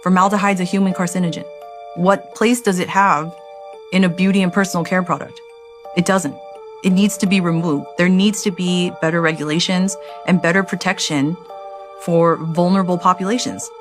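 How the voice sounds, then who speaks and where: A woman's voice: fairly low pitch, fairly even intonation, normal speed, faint music behind. A woman answering interview questions as an expert, firm and explanatory.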